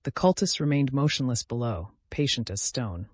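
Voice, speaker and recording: A man's voice: medium pitch, expressive, normal speed, no room sound. A man reading a dramatic scripted fantasy story as its narrator.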